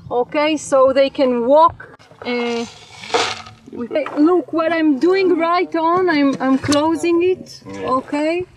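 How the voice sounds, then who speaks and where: Woman educator, high voice, halting hands-on demonstration outdoors. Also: background noise. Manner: hesitant, many uh fillers. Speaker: field educator.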